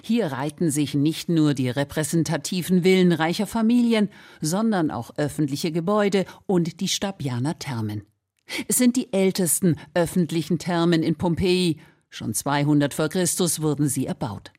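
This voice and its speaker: A woman's voice: low-pitched, a little mellow. A woman reading scripted narration for a radio feature as its reporter.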